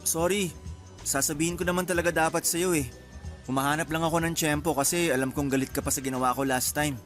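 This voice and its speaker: A man's voice: fairly high pitch, fairly even intonation, quick pace, a bit bright, faint music behind, natural voice. An actor playing a young male suitor, defensive and apologetic, in a Philippine radio drama. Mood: apologetic, pleading. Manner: pleading, defensive.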